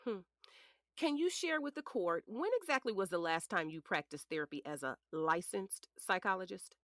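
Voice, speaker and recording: A woman's voice: medium pitch, lively intonation, normal speed, a bit bright, dry recording, clean studio sound, close to an everyday voice. A woman playing a polished defense attorney in a courtroom drama, formal, methodical and pointed. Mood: probing. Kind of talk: audio drama acting.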